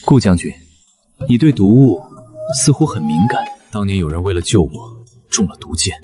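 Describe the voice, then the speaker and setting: A man's voice: low voice, big pitch swings, normal speed, mellow, soft background music. A man playing a haughty, sardonic young chancellor. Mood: cool probing. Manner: aloof, sardonic. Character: cool haughty male chancellor, sharp-tongued secret rabbit spirit. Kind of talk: Chinese period animated drama dubbing.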